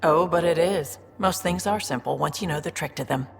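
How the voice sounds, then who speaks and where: Very low-voiced streetwise space smuggler. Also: female voice, fairly quick. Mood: sly, confident. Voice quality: gravelly, low. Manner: wry, sly, self-assured. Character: shrewd, wry, streetwise female smuggler in space. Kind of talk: video game dialogue. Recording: reverberant room with faint background noise.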